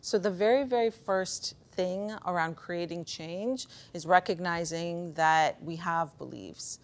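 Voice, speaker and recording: A woman speaking casually in a motivational talk, telling personal stories in an animated, conversational way. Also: lowish voice, unhurried. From Pakistan, of Afghan heritage. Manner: conversational, animated storytelling, acting out bits of dialogue. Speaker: speaker giving a conference talk on beliefs and performance.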